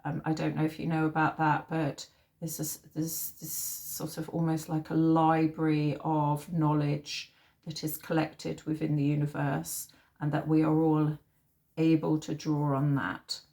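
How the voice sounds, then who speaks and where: Slow, even-toned woman, slightly husky and nasal. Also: sits low. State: unwell with a sore throat. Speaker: tarot reader and host. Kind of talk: informal spiritual tarot reading to camera.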